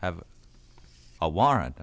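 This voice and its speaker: A prying, folksy police detective in a radio drama. His low voice swoops up and down as he hems and haws, sounding casual while he keeps pushing. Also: sing-song, slow, a bit bright, slight background noise, heavily acted. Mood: falsely friendly, probing. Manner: hesitant, rambling, falsely casual questioning. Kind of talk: 1970s radio drama acting.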